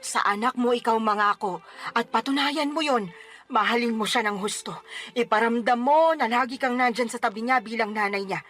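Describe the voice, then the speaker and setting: A woman's voice: fairly high pitch, normal speed, fairly bright tone, close to an everyday voice. A woman playing an older mother and grandmother in a radio drama, playful and teasing, then firm and lecturing. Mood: stern. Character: teasing, doting older grandmother, stern when needed. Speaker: mother character.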